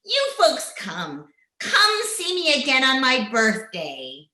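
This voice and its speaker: Casting: cackling crone spinning macabre yarns, high sharp voice, drawn-out folksy radio horror delivery. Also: female voice, wildly swooping pitch, full character voice. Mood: gleeful. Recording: echoey room, home remote recording.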